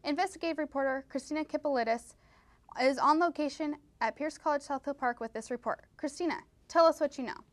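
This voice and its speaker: A woman's voice: high-pitched, a little fast, no room sound. A woman anchoring a scripted mock newscast, introducing reports in news-anchor style. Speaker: news anchor in a college's comedic promo newscast.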